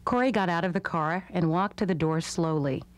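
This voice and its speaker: A woman's voice: lowish voice, moderate pace. A woman reading scripted narration for a children's storybook. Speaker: storybook reader.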